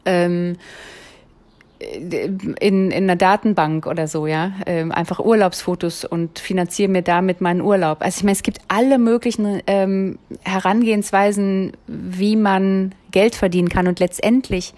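A woman's voice: medium pitch, fairly even intonation, normal speed, darkish tone. A woman from Berlin with a light Berlin accent, talking casually and rambling in a personal vlog, with lots of filler sounds. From Berlin, Germany. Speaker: vlogger. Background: phone ringing.

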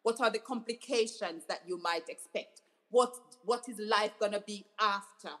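A woman's voice: medium pitch, moderate pace, fairly bright tone. A middle-aged woman from Jamaica, a doctor and author, speaking earnestly as an interview guest, often restarting phrases. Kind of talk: interview conversation.

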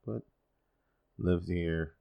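Low gravelly voice, man in his forties, spanish first language, rambling solo podcast, wry sarcastic asides. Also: steady tone, very slow, dark tone. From Dominican Republic. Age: 40s. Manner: self-deprecating, wry and sarcastic, with many fillers. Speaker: podcast host.

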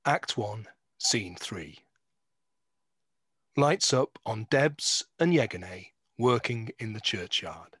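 Husky, dry-sounding male narrator. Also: on the low side, expressive, slow, a bit bright, no echo, soft background music. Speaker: narrator reading stage directions. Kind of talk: scripted narration.

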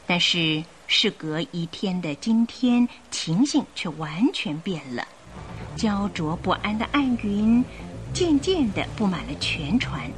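A woman narrating a radio drama in an expressive, suspenseful storytelling style. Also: mid-range voice, slight background noise. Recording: music bed behind the voice. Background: music.

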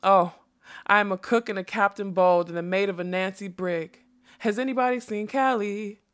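A woman's voice: medium pitch, normal speed, fairly bright tone, natural voice. Casting: female reader as flighty male butterfly for a storybook, theatrical, rambling, songful, whimsical nonsense-spouter. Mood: absentminded, declaiming. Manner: theatrical, rambling, bursting into song. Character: whimsical scatterbrained male butterfly who sings and quotes nonsense. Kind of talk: acted audiobook storytelling.

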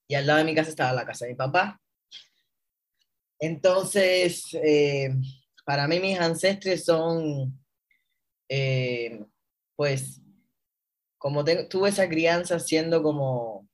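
A man in his thirties with a Cuban accent, a guest on a radio interview, speaking reflectively with many pauses and fillers while he searches for words. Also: fairly high pitch. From Cuba. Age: 30s. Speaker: guest, historian.

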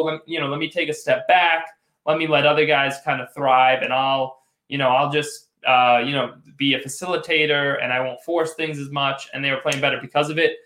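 Young man, hoarse, live-stream host, slightly high pitch, roomy echo, rambling. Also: a bit bright. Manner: rambling, many restarts, reads chat comments aloud. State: voice going hoarse. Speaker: live postgame show host. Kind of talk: casual live-stream sports talk.

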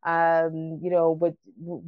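A woman's voice: low-pitched, completely flat delivery, slow pace, no room sound, narrow, tinny audio. A woman hosting a casual interview, encouraging and rambling, with many fillers and restarts. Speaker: interview host.

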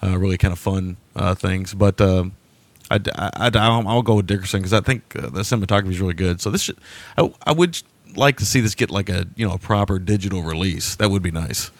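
A man with a fairly low voice co-hosts a casual movie podcast. He is easygoing and works his thoughts out aloud as he talks. His voice is recorded dry, with no room sound. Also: fast talker, dry recording. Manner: easygoing, thinks aloud while talking. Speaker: podcast co-host. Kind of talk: casual chat reviewing movies.

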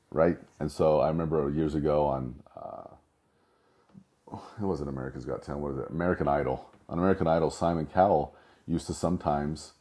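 Low, dark-voiced man musing. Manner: rambling, self-correcting storyteller. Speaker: host. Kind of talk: casual monologue.

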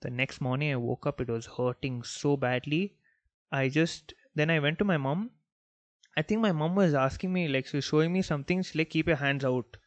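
A young man from Bangalore whose first language is Malayalam, hosting a podcast and telling childhood stories in a relaxed, rambling way full of "like" and "you know". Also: fairly high pitch, moderate pace, no room sound. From Bangalore, India. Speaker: podcast host. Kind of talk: casual storytelling monologue.

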